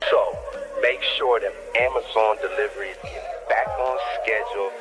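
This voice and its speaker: A man's voice: lowish voice, fairly even intonation, normal speed, poor audio. A man talking in slangy, veiled, insinuating conversation. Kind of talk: slangy phone-call conversation. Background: music.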